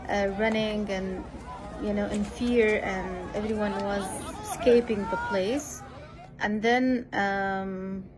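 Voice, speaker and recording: A woman reporting from the field recounts events with frequent hesitations and fillers. Her voice sits at a middle pitch, and a clear crowd is heard behind her. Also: low-quality recording. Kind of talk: eyewitness report.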